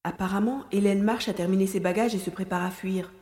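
A woman's voice: medium pitch, fairly even intonation, fairly quick, darkish tone, natural voice. A woman playing an impulsive female agent on a stakeout, thinking aloud in an audio drama. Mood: urgent, impatient. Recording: echoey hall-like reverb.

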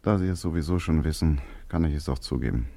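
A resigned thief, very deep. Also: male voice, fast, creaky, dark tone, dry recording, heavily acted. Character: male thief confessing, resigned.

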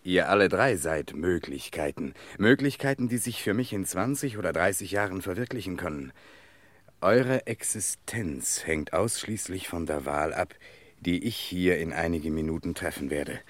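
A man's voice: low voice, lively intonation, moderate pace, no echo. An actor playing an intense, courteous young man who questions urgently, in a German radio drama. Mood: grave. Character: intense young man confronting his possible future selves.

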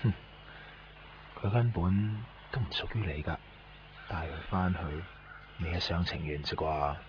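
Sardonic hustler, needling, low voice, blunt, radio drama, dull sound. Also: male voice, unhurried. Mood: mocking. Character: cynical, needling male hustler trading goods in Japan. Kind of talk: Cantonese radio drama dialogue. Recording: dull radio recording, background noise.

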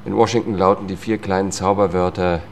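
Weary, deep-voiced middle-aged lawyer. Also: male voice, fairly even intonation, brisk, slight background noise. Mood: wry, confiding. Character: weary disgraced Washington lawyer, confiding and haunted. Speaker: first-person narrator and lead character. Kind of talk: German radio drama, narration and dialogue. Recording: studio radio play with music.

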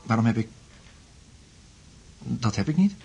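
A man's voice: medium pitch, very animated, a little slow, gravelly, loud music behind the voice. An actor in a radio play playing a confused, distressed man in love, speaking hesitantly. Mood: confused, anxious. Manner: hesitant, faltering.

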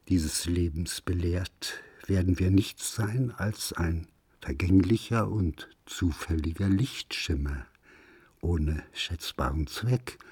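A man with a low, husky voice gives a solemn scripted reading of literary prose. He takes his time over each phrase, grave and declamatory in the way of a radio recitation. The pace is slow and deliberate. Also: darkish tone, no echo, clean studio sound. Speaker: reader of a literary essay.

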